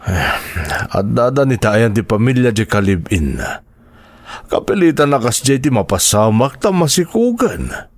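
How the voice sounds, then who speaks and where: A man acting as a disheartened, apologetic middle-aged uncle in an Ilocano radio drama, with a Filipino accent and halting, heartfelt delivery. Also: mid-range voice, sing-song, no echo. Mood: disheartened, resigned. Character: regretful, disheartened uncle of a graduate, humbled and apologetic.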